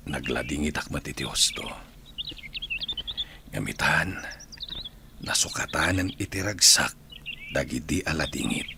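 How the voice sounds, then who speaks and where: A man's voice: extremely low pitch, creaky, noisy background, full character voice. A man playing a devoted, sentimental widowed groom in an Ilocano radio drama, with a heartfelt, emotional delivery.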